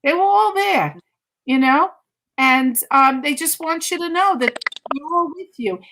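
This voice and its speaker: A woman's voice: high-pitched, unhurried, noisy background. A woman giving a conversational live mediumship reading, insistently repeating phrases and relaying messages as quotes.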